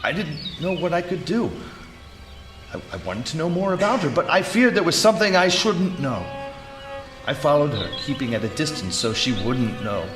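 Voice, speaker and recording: An actor playing an earnest, haunted gentleman sculptor in a theatrical period audio drama, intense in delivery. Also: on the high side, big pitch swings. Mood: haunted, hushed. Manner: intense, halting recollection breaking into frantic cries. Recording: echoey live theatre stage with music.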